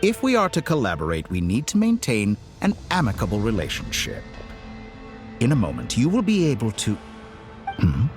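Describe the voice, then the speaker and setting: An actor playing an urbane, condescending video game villain with a formal, theatrical, smugly polite delivery. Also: male voice, fairly low pitch, wildly swooping pitch, moderate pace, faint music behind. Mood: smug, coaxing. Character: urbane, condescending male villain with godlike powers.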